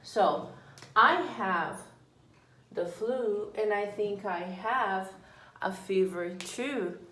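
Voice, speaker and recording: A woman explains English grammar to her students, going slowly and patiently. She says each example sentence twice in a deliberate, measured voice. Her voice carries a noticeable echo from the room. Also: mid-range voice, a bit bright, a little hiss or hum. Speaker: English teacher. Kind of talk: slow, deliberate classroom explanation. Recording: echoey room.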